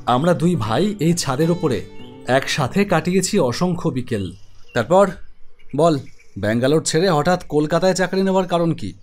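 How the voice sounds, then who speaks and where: A young man narrating an animated horror story in the first person, conversational and reflective. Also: fairly high pitch, normal speed, faint music behind. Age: young adult. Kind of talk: scripted storytelling.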